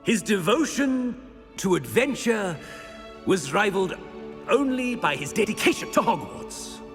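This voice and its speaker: An actor playing a pompous, awkward headmaster who fumbles and clears his throat in a video game cutscene. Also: high voice, sing-song, unhurried, fairly bright tone, full character voice. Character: pompous aging male headmaster, awkward and dismissive. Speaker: headmaster giving a eulogy. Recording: echoey hall reverb, faint music score.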